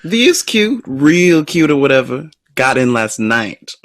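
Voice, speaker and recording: An actor playing a sassy, teasing young friend in an audio drama, with arch, drawn-out phrasing. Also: male voice, mid-range voice, big pitch swings, fairly bright tone, no room sound, clean studio sound. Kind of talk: naturalistic audio drama dialogue.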